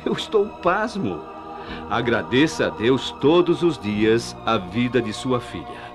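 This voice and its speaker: A man's voice: medium pitch, big pitch swings, takes it slowly, music in the background. A man playing a kindly, earnest hospital doctor speaking emphatically to a parent in a radio drama. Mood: amazed, reassuring.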